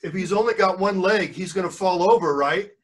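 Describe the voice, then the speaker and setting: An older man reading a storybook aloud as a grandfather would, pausing for chatty asides and questions to young listeners. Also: high-pitched, recorded from a distance, a little hiss or hum. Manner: pauses to quiz the listeners.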